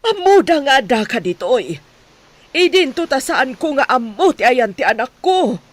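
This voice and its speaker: High-pitched, emotional wealthy mistress. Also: female voice, sing-song, unhurried, full character voice. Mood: distraught, scolding. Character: distraught haughty rich mistress searching for her missing child. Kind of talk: radio soap drama acting.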